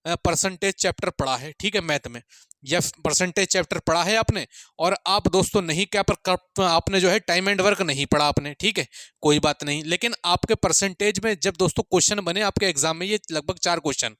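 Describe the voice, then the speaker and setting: A man's voice: high-pitched, fairly quick, a bit bright, no room sound. A man giving an informal exam-prep lecture, repeating his points and often checking in with students in a friendly way.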